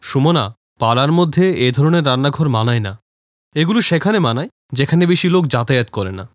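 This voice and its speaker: A woman's voice: a bass voice, animated, fairly quick, no room sound, studio quality, pitched below the performer's own voice. A woman voicing a practical, disapproving husband in a family cartoon, reproving and matter-of-fact.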